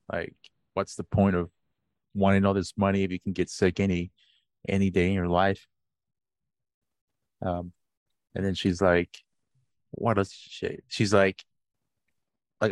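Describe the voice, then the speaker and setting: A man's voice: low voice, no echo. A man in his late twenties co-hosting a podcast, chatting casually, rambling and swearing freely with frequent laughs. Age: 20s. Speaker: podcast co-host. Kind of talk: casual chat.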